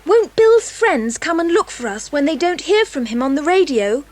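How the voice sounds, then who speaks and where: An easily dismayed child in an audio adventure. The voice is extremely high and very lively in pitch, full of worried questioning. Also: female voice, normal speed. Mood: worried, pondering. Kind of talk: children's audio drama acting.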